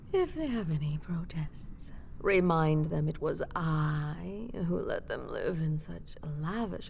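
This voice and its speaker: Woman doing imperious old vampire lady, low gravelly whisper, drawn out n condescending, audio roleplay w background noise. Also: darkish tone, heavily acted. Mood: coldly commanding. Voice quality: breathy, whispery, creaky. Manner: haughty, languid, formal aristocratic phrasing. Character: imperious ancient female vampire matriarch, condescending yet indulgent. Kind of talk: scripted roleplay audio drama, ASMR-like intimacy.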